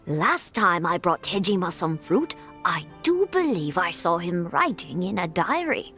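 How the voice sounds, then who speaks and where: An actress playing a kindly, helpful village woman in a game dub, speaking in a gentle, polite way. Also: lowish voice, big pitch swings, a little slow, soft background music, exaggerated voice.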